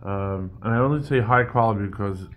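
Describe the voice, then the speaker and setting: A man's voice: on the low side, a little fast. A man interviewing someone casually indoors, informal and thinking aloud as he goes, recorded on a phone. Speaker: interviewer, beverage seller. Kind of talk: casual chat, interview questions. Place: indoor room at a table.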